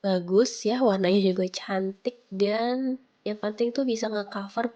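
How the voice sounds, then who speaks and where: A woman's voice: mid-range voice, fairly even intonation, a little slow, warm tone, muffled recording. Congested young woman, casual vlog narration. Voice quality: congested, nasal from a cold. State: sick with flu and sore throat. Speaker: home vlogger. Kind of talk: casual chatty vlog voice-over.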